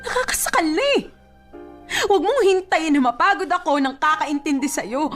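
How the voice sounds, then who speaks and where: A woman's voice: sits high, lively intonation, moderate pace, fairly bright tone. A woman playing a weary young girlfriend in a Filipino radio drama, emotional and pleading. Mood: hurt, fed up. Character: weary young girlfriend defending herself from a jealous boyfriend. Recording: music bed under the voice.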